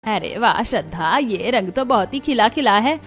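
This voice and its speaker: Woman playing a scheming, high-voiced mother-in-law. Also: expressive, moderate pace, a bit bright. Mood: falsely sweet. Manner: bossy, theatrical. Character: scheming, commanding middle-aged mother-in-law feigning sweetness. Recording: music behind the voice.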